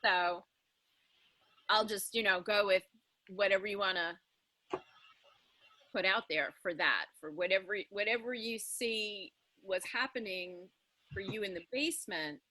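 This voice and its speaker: A woman actor casually talking through ideas, telling things in an animated way. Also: medium pitch, a little slow, long pauses, no room sound. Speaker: improv audio drama actor. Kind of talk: casual creative discussion.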